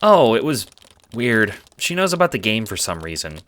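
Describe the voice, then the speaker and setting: A man's voice: on the low side, sing-song, normal speed, background noise. A man playing an awkward, good-natured teenage boy in an audio drama, hesitant and casual with some stammering.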